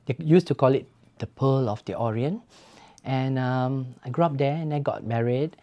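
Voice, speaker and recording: A speaker from Malaysia with a mellow tone, talking plainly about their life. The telling is matter-of-fact, with the odd filler. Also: medium pitch, normal speed, dull audio. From Penang, Malaysia. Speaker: local resident, refugee centre volunteer. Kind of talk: personal account.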